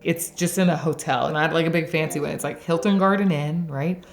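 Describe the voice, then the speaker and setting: A woman's voice: low-pitched, fairly even intonation, brisk, soft background music. A woman in her forties from Texas, sharing her faith story in a chatty way, laughing often and using lots of fillers. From Dallas area, Texas. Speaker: interviewee. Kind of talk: personal faith testimony.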